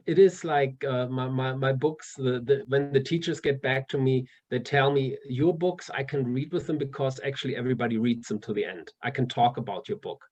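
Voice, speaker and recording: A man with a German accent talks in a relaxed interview, and he often stumbles over his words and restarts. His voice sits at a middle pitch. The audio comes through dull and slightly muffled. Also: brisk, hardly any pauses. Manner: hesitant, many restarts and fillers, laughs often. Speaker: author guest. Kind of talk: casual interview chat.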